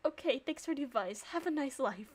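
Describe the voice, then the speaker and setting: High-voiced, sarcastic woman streaming. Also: brisk. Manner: sarcastic, expressive. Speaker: streamer playing a visual novel. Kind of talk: reading game narration and dialogue aloud with reactions.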